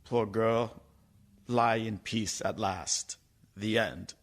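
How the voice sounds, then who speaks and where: A solemn doctor recounting his tale, played by a man in a radio drama. His voice is fairly low and slow, grave with grief. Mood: grieving. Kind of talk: dramatic radio play narration.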